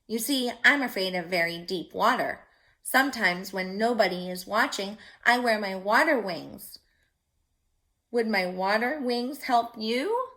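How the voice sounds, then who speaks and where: Storybook duck, done by a woman: shy, confiding, helpful, lively pitch, slow delivery. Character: duck afraid of deep water, shy and helpful.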